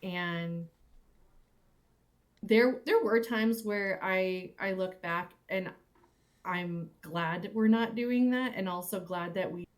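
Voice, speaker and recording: A woman in a reflective, casual panel conversation, speaking in halting, thoughtful phrases. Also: medium pitch. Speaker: cast or crew member on a panel.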